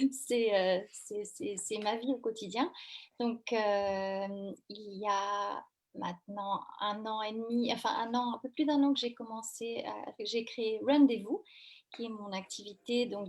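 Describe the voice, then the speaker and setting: A woman's voice: on the high side, darkish tone. A woman from France working as a running coach, answering questions in a live interview, with many fillers and restarts. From France, Brittany. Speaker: running coach and French teacher.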